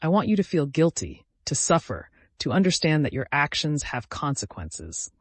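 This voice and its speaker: A man's voice: on the high side, very animated, moderate pace, no echo. A man voicing a vengeful, calculating woman, dry sound. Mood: bitter grief. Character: vengeful calculating woman, grieving and bitterly controlled. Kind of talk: dramatic audiobook character reading.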